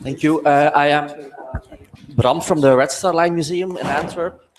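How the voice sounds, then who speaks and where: A man from Belgium asking a question from the audience, his voice a little high for a man. He hesitates and fills his pauses often. He speaks into a handheld microphone in a large conference hall. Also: slight background noise. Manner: hesitant, many fillers.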